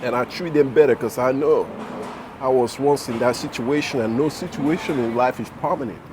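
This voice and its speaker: A man's voice: medium pitch, normal speed, background noise. A Nigerian man in his thirties, vlogging as he walks down a city street and talks earnestly to his camera, repeating phrases for emphasis. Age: 30s. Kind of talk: walking personal vlog to camera. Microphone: handheld self-filming camera.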